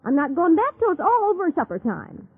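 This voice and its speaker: A woman's voice: extremely high pitch, very animated, a little fast, phone-quality sound, full character voice. An actress playing a chatty, stubborn farm wife in a radio drama, breezy and dismissive as she scolds. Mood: chiding.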